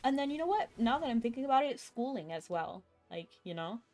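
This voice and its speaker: A woman streaming a video game, chatting casually and thinking out loud as she plays, with a chuckle here and there. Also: on the high side, big pitch swings, a little fast. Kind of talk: casual live-stream chat while gaming. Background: faint game music.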